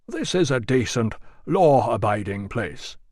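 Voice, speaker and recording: A man voicing a dignified middle-aged guild representative with a rich bass voice and a measured, formal manner. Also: big pitch swings, a little mellow, no echo, clean studio sound.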